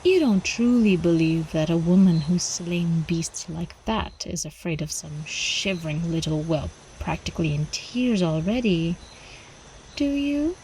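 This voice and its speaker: Woman playing a slow, velvety vampire countess. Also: on the low side, a little mellow, slight background noise, close to an everyday voice, rough recording. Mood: haughty, amused. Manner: slow, breathy, drawling, often dropping into whispers. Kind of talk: ASMR roleplay monologue. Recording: faint night insect ambience behind.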